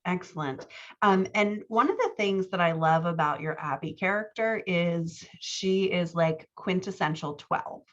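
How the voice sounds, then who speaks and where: A woman's voice: on the low side, measured pace, muffled recording. A woman working as a writing coach and podcast host, giving casual, encouraging feedback in conversation. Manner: encouraging, affirming.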